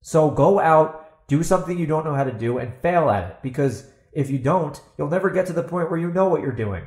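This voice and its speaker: A man's voice: a little high, animated, fairly quick, darkish tone. Blunt, emphatic man in an echoey room. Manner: emphatic, blunt, swears, asks and answers own questions. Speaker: podcast host and fantasy author. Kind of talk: opinionated solo podcast monologue. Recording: roomy, echoey voice recording.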